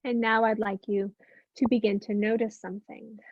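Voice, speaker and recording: High-voiced woman guiding a meditation. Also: level tone, dry recording, lo-fi sound. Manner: gentle, unhurried with long pauses. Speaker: meditation and yoga teacher. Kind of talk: guided meditation, soothing instruction.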